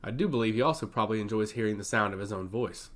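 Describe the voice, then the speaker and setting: A man's voice: fairly low pitch, quick pace, close to an everyday voice. A man voicing a blunt, easygoing noble brother in a fantasy audiobook, direct and wry. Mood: thoughtful, wry.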